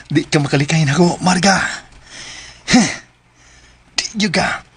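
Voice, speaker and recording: A man's voice: fairly high pitch, slow pace, a bit bright, husky, exaggerated voice. A man playing a flamboyant, possessive, scheming bodyguard in a Filipino radio drama, exclaiming in a theatrical sing-song. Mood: menacing, gloating.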